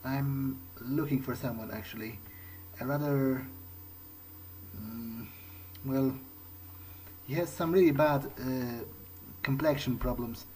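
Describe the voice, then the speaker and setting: A man's voice: mid-range voice, slow pace, long pauses, a little mellow, slight background noise, low-quality recording. A man playing a video game in character, commenting in a hesitant, understated way as if musing to himself. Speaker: let's play host.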